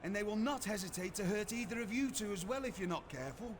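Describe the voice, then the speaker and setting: An actor playing a stern, paternal gentleman mentor in a wrestling video game, speaking formally and admonishingly. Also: high-pitched, expressive, quick pace. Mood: stern concern. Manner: formal, admonishing, old-fashioned wording. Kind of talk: video game cutscene acting. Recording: noisy game audio with ambience.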